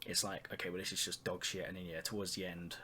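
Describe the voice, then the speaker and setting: A man's voice: low voice, flat delivery, fast talker, sharp tone. A man hosting a casual podcast, chatting about anime in a teasing, sweary, slangy way. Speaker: podcast host. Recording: noticeable background noise.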